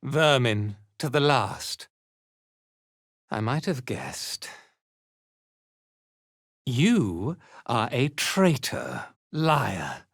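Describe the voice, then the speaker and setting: A man's voice: mid-range voice, very animated, very slow, pauses often, a bit bright, dry recording, studio quality, exaggerated voice. A man playing an arrogant, cruel drow zealot commander in a fantasy video game, pompous and sneering with disdain. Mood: menacing scorn.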